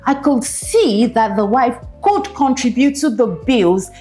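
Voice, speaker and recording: A woman on a discussion panel talks earnestly, often stopping to search for the right words. Her voice sits fairly high, and faint music plays underneath her. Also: very animated, unhurried, warm tone.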